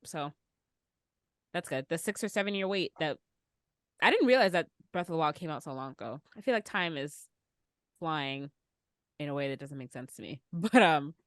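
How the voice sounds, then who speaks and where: A woman's voice: low-pitched, expressive, moderate pace, pauses often, no echo, clean studio sound. A woman hosting a podcast, chatting casually about pop culture. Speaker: podcast host.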